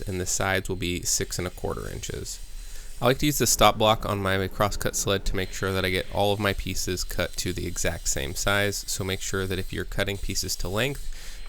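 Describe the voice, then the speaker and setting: A man's voice: sits low, a bit bright, slight background noise. A man giving a casual, plain-spoken how-to voice-over, explaining step by step as a maker hosting his own video. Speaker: woodworking maker and host.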